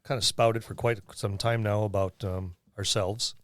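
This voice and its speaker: A man's voice: on the low side, steady tone, moderate pace, dry recording, clean studio sound. A middle-aged man co-hosting a podcast, walking through a gaming book in a rambling, jokey, casual way. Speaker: podcast co-host.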